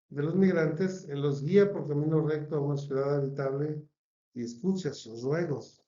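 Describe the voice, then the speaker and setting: Mexican preacher in an echoey room. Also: male voice, a little high, mellow, muffled recording. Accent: Mexican Spanish. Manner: earnest, repetitive listing cadence. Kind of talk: sermon.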